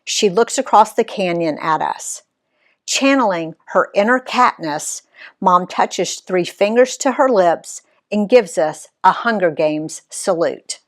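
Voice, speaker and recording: A woman reading her own travel story aloud in an expressive read-aloud style, giving the quoted people voices of their own. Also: lowish voice. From Alabama, USA. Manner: unhurried, lively reading that voices the quoted characters.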